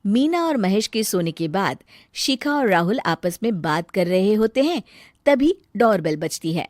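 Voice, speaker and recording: A woman's voice: mid-range voice, sing-song, unhurried, a little mellow, dry recording, studio quality. A woman giving scripted narration for a cartoon story.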